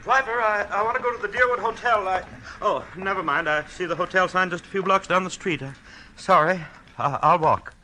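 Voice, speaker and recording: A man's voice: high voice, a little fast, bright, forceful tone, slight background noise, rough recording. An actor playing a nervous, polite man bewildered by the police, hesitant and stammering, in a 1940s radio drama. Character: nervous, polite, bewildered quiet male stranger under arrest.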